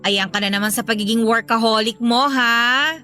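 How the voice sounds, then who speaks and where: High, bright, emphatic radio drama woman. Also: moderate pace, faint music behind. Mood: teasing, friendly. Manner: emphatic, theatrical. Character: indignant estranged wife, pleading fiercely; also teasing coworker.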